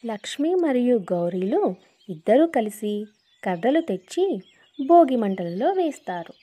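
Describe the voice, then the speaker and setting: A woman's voice: on the high side, very animated, unhurried, dark tone. A woman reading scripted narration for a cartoon moral story.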